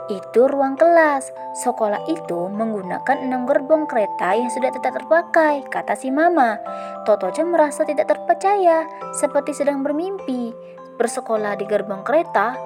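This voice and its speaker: A young woman from Indonesia reading a children's story aloud, asking her young listeners lively questions. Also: on the high side. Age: young adult. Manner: lively, addresses listeners as "sahabat bercerita", rising questions.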